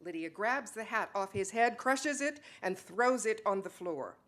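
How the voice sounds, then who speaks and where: A woman's voice: mid-range voice, expressive, measured pace, a bit bright. A woman narrating scripted stage directions as part of a dramatic reading. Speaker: dramatic reader.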